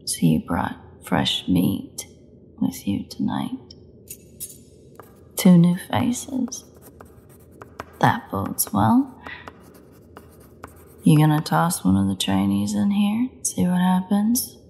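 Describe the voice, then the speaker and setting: Woman acting as a gravelly, sardonic captive vampire woman. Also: lowish voice, big pitch swings, slow pace, pauses often, warm tone, a little hiss or hum, heavily acted. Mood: dry taunting. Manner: sarcastic, teasing drawl with dramatic drops. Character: captive starving female vampire, sardonic, cocky, menacing, taunting her jailers. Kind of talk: solo audio roleplay acting.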